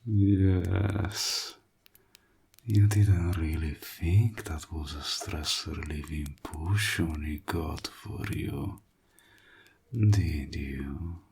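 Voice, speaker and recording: Slow, breathy, gravelly evil warlock, acted by a man. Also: low-pitched, a little mellow, heavily acted. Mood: smug gloating. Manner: slow, theatrical, taunting, often dropping into a whisper. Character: evil, mocking male warlock toying with his client. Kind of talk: scripted audio roleplay.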